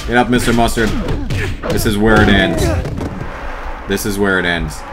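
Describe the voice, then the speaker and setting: A man's voice: lowish voice, normal speed. Foul-mouthed man ranting over game music. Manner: exasperated, swearing, yelling at the game. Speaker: let's play commentator. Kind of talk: game commentary.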